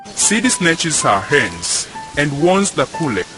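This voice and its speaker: Slow, animated young male narrator. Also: fairly high pitch, poor audio. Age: young adult. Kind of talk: scripted drama narration. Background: music.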